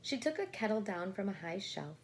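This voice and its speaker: Female storybook reader for children, lively intonation, creaky voice, explanatory, faint noise behind. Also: mid-range voice, brisk. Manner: expressive, explanatory. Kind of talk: read-aloud for children with casual asides.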